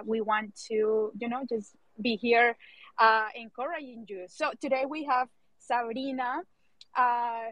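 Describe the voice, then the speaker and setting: A woman's voice: on the high side, fairly bright tone, no echo. A woman hosting an online audio chat, speaking hesitantly with many fillers. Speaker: host. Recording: dry dull lo-fi audio.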